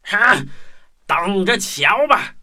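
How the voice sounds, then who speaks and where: A woman voicing a rude, jealous male jack-in-the-box toy in a children's audio drama, with slow, menacing, gloating delivery. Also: mid-range voice, very animated. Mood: jealous, threatening. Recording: loud music over the voice.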